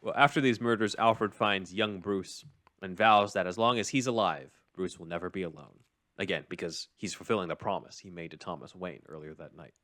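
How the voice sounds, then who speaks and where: Low-voiced man, dry close sound. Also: a little fast, a bit bright, no room sound. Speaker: podcast host. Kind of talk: casual recap and commentary on a script.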